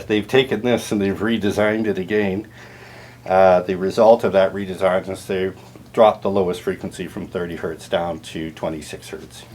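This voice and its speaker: A man with a low voice walks through technical product details in an informal demonstration. His delivery is halting and explanatory, with clear noise behind him. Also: darkish tone. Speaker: audio dealer and turntable restorer giving a product tour.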